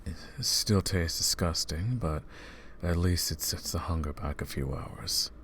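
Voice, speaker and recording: A man playing a deep-voiced vampire hunter. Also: measured pace, slight background noise. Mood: weary. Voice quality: very deep, soft-spoken. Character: brooding male vampire hunter, calm and protective. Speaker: ASMR roleplay voice actor. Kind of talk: ASMR roleplay narration.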